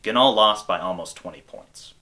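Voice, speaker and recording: Bright-voiced American man narrating, lower pitch. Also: moderate pace, slight background noise. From United States. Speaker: video essay host. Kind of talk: scripted narration.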